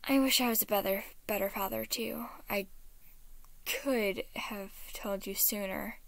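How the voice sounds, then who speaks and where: A woman voicing a gentle, anxious fatherly man who speaks softly and haltingly. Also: mid-range voice, fairly even intonation, gravelly, dry recording. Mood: apologetic.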